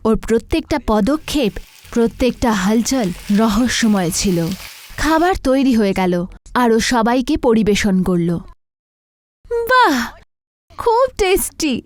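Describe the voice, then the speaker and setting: A woman's voice: on the high side, animated, unhurried, a little mellow, dry recording. A woman narrating a scripted horror story.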